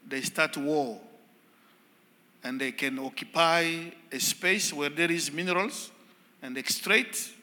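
Slow, careful Congolese archbishop, bright tone. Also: male voice, a little high. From Democratic Republic of the Congo. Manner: slow, halting non-native English with pauses. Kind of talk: guest church talk. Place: church sanctuary. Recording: long church reverb.

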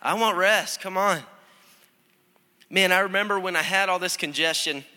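A young man, a student pastor, preaching a conversational, energetic sermon with frequent restarts, recorded in a church sanctuary. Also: high-pitched, moderate pace. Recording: long hall reverb.

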